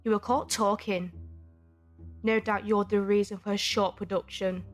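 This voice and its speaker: A woman's voice: mid-range voice, level tone, normal speed, soft background music, lightly acted. A woman playing a cold, punitive factory forewoman with a strict, formal, clipped manner in an audio drama. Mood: cold authority.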